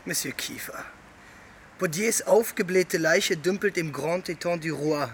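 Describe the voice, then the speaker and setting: An actor playing an indignant, sarcastic police inspector in a radio drama, speaking formally and reproachfully. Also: male voice, a little high, a little slow, slight background noise, natural voice. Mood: grim, blunt.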